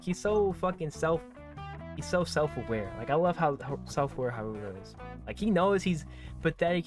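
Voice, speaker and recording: A man doing a visual novel let's play, reading aloud and reacting casually with slangy, effusive comments and swearing. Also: a little high. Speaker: game streamer, let's player.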